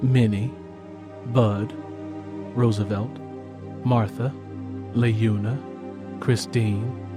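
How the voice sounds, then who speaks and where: A man's voice: lowish voice, steady tone, extremely slow. A man reading a scripted story as an audiobook narrator. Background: music.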